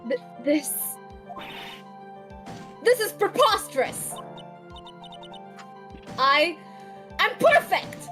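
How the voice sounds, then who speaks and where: A woman's voice: very high-pitched, big pitch swings, very slow, long pauses, pushed and bright, faint music behind, full character voice. A woman voicing a haughty, furious young prosecutor in a melodramatic comic fan dub. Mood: haughty outrage.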